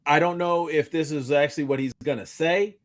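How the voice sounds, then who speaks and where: A man co-hosting a podcast, telling stories in a casual, animated, rambling way and often stumbling over repeated starts. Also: on the high side, brisk. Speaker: podcast co-host. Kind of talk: casual chat, recounting anecdotes.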